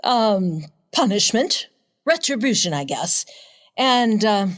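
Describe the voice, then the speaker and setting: A woman's voice: medium pitch, very animated, slow. A woman playing a spirited, feisty female companion of a reporter in a radio play, direct in manner. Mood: questioning. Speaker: actor in an audio drama. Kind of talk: community theatre radio play acting.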